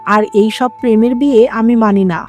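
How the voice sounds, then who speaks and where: A woman as a scolding, mellow-voiced mother-in-law. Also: on the high side, fairly even intonation, a little fast, soft background music, close to an everyday voice. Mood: stern. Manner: scolding, lamenting. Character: scolding, traditional, lamenting middle-aged mother-in-law. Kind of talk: dramatic cartoon dialogue.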